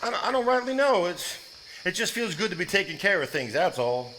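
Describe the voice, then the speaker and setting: Actor doing plain spoken guy, earnest, highish, noisy live play recording. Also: a little fast, pushed and bright. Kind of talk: live stage acting.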